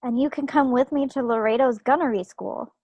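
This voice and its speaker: A woman's voice: sits high, a little fast, no echo, highs cut off. A woman playing an earnest, impatient young bride-to-be in an amateur play read over a video call. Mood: coy, pressing.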